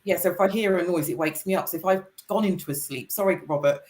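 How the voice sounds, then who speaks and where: Middle-aged British co-host, lowish voice, chatty and repetitive. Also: female voice, fast talker, darkish tone. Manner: chatty, repeats herself, apologetic asides. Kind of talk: casual chat.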